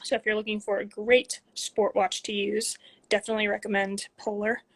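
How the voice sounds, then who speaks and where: A woman in her twenties, speaking from a room at home into a phone, chats casually with many ums as she reads out viewer questions and answers them, sometimes dropping to soft asides. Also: mid-range voice, little pitch movement, moderate pace. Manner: reads comments aloud, chatty, frequent ums, drops into soft asides. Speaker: athlete hosting a live Q&A.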